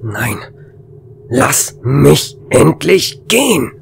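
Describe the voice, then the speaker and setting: Man acting an urgent, higher-pitched space station officer. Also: big pitch swings, drawn-out words, creaky, slight background noise, heavily acted. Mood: desperate. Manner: urgent, dramatic, clipped phrases. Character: weary, desperate immortal man on remote space station. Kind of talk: audio drama acting.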